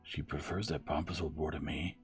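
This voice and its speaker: 남자가 연기하는 건방지고 격한 청년. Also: male voice, rumbling low, steady tone, fairly quick, breathy edge, faint music behind, heavily acted. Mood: scornful. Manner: furious, incredulous, venomous. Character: brash, scornful young man, jilted suitor.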